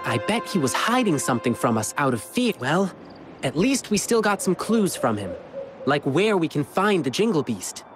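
A woman playing a youthful, confident adventurer in a video game, earnest and expressive. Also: sits low, moderate pace. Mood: alert, curious. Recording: game music underneath.

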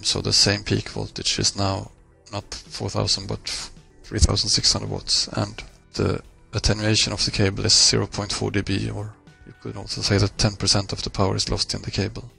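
Guy doing a screencast walkthrough, very creaky voice, bright and forceful edge, pauses mid sentence. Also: fairly low pitch. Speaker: software tutorial presenter. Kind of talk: screencast software walkthrough, explanatory.

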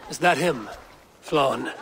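A man playing a blunt, gruff Viking warrior in a video game, with a dry, clipped way of talking. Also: mid-range voice, takes it slowly, a bit bright, a faint crowd. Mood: wry, casual. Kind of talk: video game cutscene acting.

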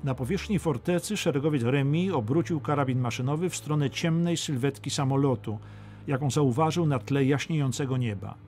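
A man's voice: medium pitch, a little fast, a little mellow. A man reading a scripted, dramatic history audiobook as narrator. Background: music.

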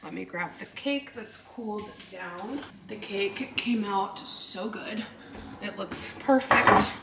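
A woman's voice: mid-range voice, slow pace, heavy background noise. A woman vlogger chatting casually through a baking project at home, talking through each step and giggling often. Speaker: lifestyle vlogger. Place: home kitchen.

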